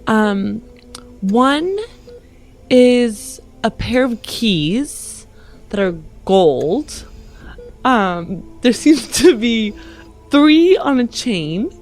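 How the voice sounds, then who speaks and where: Slow, earnest woman roleplaying. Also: fairly high pitch, expressive, a little mellow, soft background music. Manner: halting, earnest. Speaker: player in a tabletop roleplaying game. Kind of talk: improvised in-character roleplay with narration.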